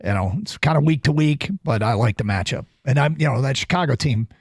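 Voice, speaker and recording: A man's voice: medium pitch, animated, brisk, slightly rough, no echo, studio quality. A man hosting a sports talk radio show, chatting casually and taking calls. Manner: conversational, takes calls. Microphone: studio microphone.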